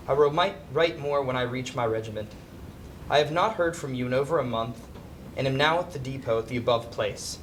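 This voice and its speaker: A dutiful young soldier of the Civil War , played by a man, recites his letter home on stage. His voice is medium in pitch, measured and earnest. There is a faint rumbling noise in the recording. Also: natural voice. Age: young adult. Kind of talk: dramatic letter reading. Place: indoor stage before a projection screen.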